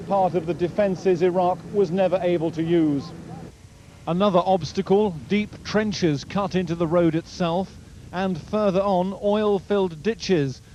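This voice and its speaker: A male war correspondent narrates a prepared report. His voice sits a little high and is soft-edged and mellow. The field recording is lo-fi, with faint traffic and outdoor noise behind him. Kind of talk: scripted news report narration.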